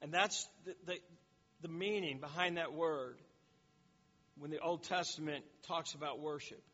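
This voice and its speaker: A man's voice: on the high side, a little slow, bright, forceful tone, long reverb tail, dull audio. A man teaching a sermon in an explanatory way, often repeating words and restarting phrases. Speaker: preacher.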